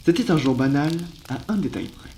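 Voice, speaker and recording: A man's voice: mid-range voice, big pitch swings, a little fast, darkish tone, roomy sound, a little hiss or hum. A man narrating a scripted ASMR roleplay as a storyteller.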